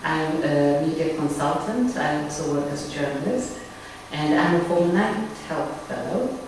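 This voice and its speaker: A woman with a Zambian accent, a media consultant and journalist, speaking in a measured, earnest way in an interview. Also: low voice. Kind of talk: recorded interview statement. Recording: echoey room, distant mic, faint noise.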